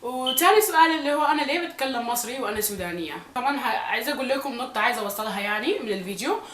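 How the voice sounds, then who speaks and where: A young Sudanese woman vlogging casually to her viewers, speaking with a Sudanese Arabic accent with some Egyptian traces and a slightly hoarse voice, often repeating phrases for emphasis. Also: on the high side, animated, racing, fairly bright tone, reverberant. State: slightly hoarse from recent illness. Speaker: YouTuber. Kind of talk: casual vlog talk to viewers.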